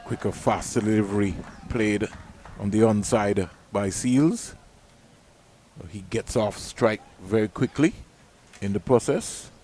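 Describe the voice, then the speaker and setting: A man giving measured live cricket commentary, reading out scores and ball-by-ball play. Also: on the low side, dark tone, a little hiss or hum.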